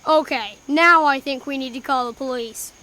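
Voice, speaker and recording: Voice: high voice, moderate pace, natural voice. A young performer playing a jumpy, suspicious boy in a radio mystery, blurting things out nervously. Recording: faint background noise.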